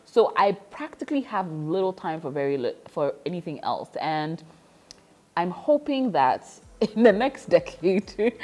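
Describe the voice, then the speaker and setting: Woman, 30, vlogger. Lower-pitched, reflective, laughs a lot, light music bed. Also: darkish tone. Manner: reflective, laughs often, many fillers. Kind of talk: casual confessional vlog.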